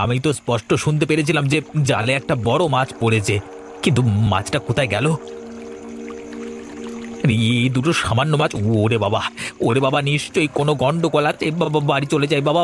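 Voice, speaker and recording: A man voicing an eager, easily spooked village husband in a cartoon, chatty and expressive. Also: a little high, natural voice. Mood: frightened. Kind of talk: Bengali cartoon dialogue acting.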